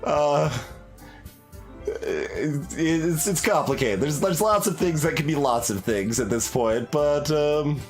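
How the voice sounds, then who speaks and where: A man's voice: on the high side, lively intonation, takes it slowly. A young man giving commentary on a game, musing aloud with many fillers and restarts. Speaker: gaming YouTuber. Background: soft game music.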